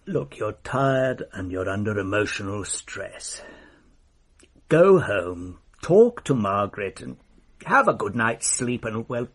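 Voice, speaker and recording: An actor playing a brusque, commanding police superintendent in a radio drama, with a husky voice. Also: male voice, lowish voice, sing-song, slow, exaggerated voice. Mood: firm. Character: firm senior police superintendent, gruff.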